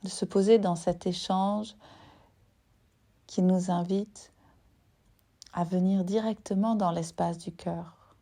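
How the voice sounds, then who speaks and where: A woman guides a meditation in a slightly low, warm and relaxed voice. Her delivery is very slow and soothing. She speaks in short phrases separated by long, unhurried pauses, as a yoga nidra teacher would.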